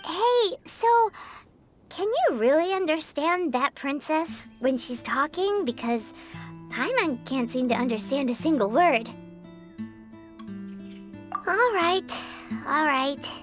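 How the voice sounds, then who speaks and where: A woman voicing a perky, childlike little fairy girl companion in a video game, chatty, blunt and exclamatory with a squeaky tone. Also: animated, takes it slowly, pauses often, soft background music. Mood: exasperated. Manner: exclamatory, refers to self by name.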